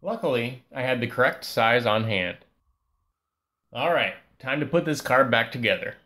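A man giving a calm, scripted voice-over that walks through a repair step by step. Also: mid-range voice, moderate pace. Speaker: repair channel host.

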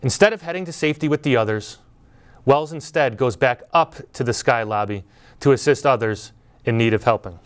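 A middle-aged man giving a prepared graduation speech from a podium, telling a story in a measured, earnest way. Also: mid-range voice, clear, resonant tone. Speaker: school speaker at graduation. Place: indoor studio before green backdrop.

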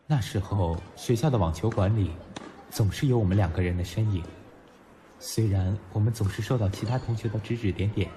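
A man's voice: lowish voice, a little fast, noisy background, natural voice. An actor playing a young male student in an audio drama, narrating reflectively as well as speaking in dialogue. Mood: wistful narration. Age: young adult. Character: young male student, nostalgic, proud, teasing. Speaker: lead actor and first-person narrator. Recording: music behind the voice.

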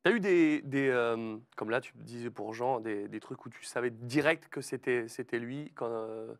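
A man's voice: medium pitch, normal speed. A man hosting a casual studio chat, asking questions in a slangy, teasing way. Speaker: host.

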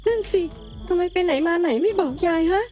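Cartoon ghost pal acted by a woman: female, chirpy, very high, rapid, opinionated. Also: dark tone, faint music behind. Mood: concerned, chatty. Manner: quick, chiming in, conversational. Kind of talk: cartoon comedy dialogue.

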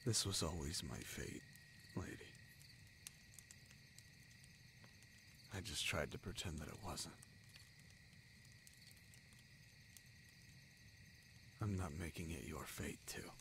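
A man playing an anguished, self-sacrificing young spider-folk lover in a romantic audio drama, halting and pleading in manner. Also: a bass voice, lively intonation, creaky, background noise, exaggerated voice. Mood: sorrowful resignation.

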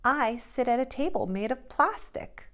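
Middle-aged female preschool teacher, unhurried delivery with big swings in pitch, plain phrases for little kids. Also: animated, fairly bright tone. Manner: simple deliberate phrasing with questions to young children. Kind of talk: children's lesson and read-aloud.

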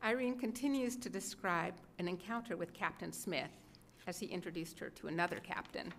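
A woman's voice: fairly low pitch, a little fast, fairly bright tone. A middle-aged woman reads scripted biographical narration at a podium microphone in an indoor event room. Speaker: narrator introducing letters. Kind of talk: scripted narration, read aloud. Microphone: gooseneck podium microphone. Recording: live room recording with background noise.

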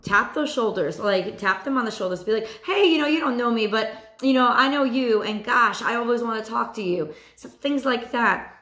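A woman in her thirties with a hoarse voice, giving a chatty weekly tarot reading to a webcam at home. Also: a little high, moderate pace, few pauses, roomy sound. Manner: animated, repeats phrases, chatty asides. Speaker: tarot reader. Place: small room at home.

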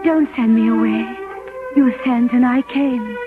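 Woman playing an earnest young sweetheart, slightly high-pitched. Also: steady tone, unhurried, over music, lightly acted. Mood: earnest devotion. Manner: tender, earnest, theatrical. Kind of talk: 1940s radio acting. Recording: muffled, narrow vintage 1946 radio recording.

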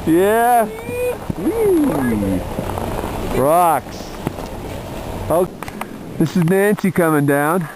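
A man's voice: on the high side, sing-song, extremely slow, pauses often. A middle-aged man giving casual running commentary on a ski trip into a handheld camera. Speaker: amateur home-video narrator. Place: ski gondola, chairlift and open slopes. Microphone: handheld camera at arm's length. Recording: noisy camcorder audio with wind and machinery.

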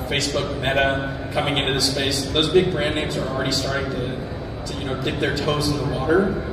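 A man's voice: medium pitch, very noisy. A man on a conference panel talking casually, with many fillers, on a handheld mic. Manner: casual, talks in quick runs with many fillers. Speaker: panelist, game studio founder. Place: large expo hall stage. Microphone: handheld mic, recorded by a far camera. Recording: echoey hall recorded from far off.

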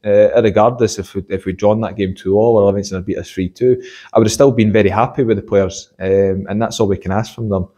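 A young man, an assistant football manager, giving a measured post-match interview with many fillers, in a stadium corridor. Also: on the low side, steady tone, dark tone, husky. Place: corridor by a sports changing room.

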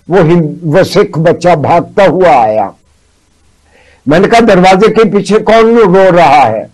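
A man's voice: high-pitched, darkish tone, low-quality recording. A man of seventy-three with a Pakistani accent, telling stories emphatically in a TV studio discussion, repeating phrases for weight. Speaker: talk show guest. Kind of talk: storytelling in a TV discussion. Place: indoor TV studio. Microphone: lapel mic.

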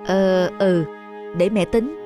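A woman voicing a gentle, hard-pressed village mother in a cartoon dub, soft, caring and earnest. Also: mid-range voice, slow pace, soft background music, close to an everyday voice. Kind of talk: cartoon dubbing.

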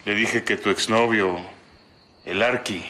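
Cocky, bright-voiced ranch schemer. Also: male voice, lowish voice, steady tone, a little slow, a little hiss or hum. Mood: sly, confident. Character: cocky, scheming ranch right-hand man, flirtatious. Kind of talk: telenovela acting.

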